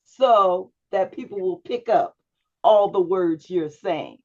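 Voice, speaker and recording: A woman's voice: mid-range voice, slow, lo-fi sound. A woman public speaking instructor giving one-on-one feedback to a student over a video call, often checking in with "right?". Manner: coaching, checks understanding with frequent "right?".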